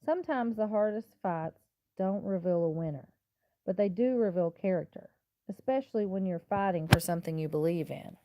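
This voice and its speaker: A woman's voice: medium pitch, moderate pace, warm tone, no echo. Woman reading a children's storybook. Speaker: teacher reading a picture book aloud. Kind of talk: expressive children's storybook read-aloud in first person.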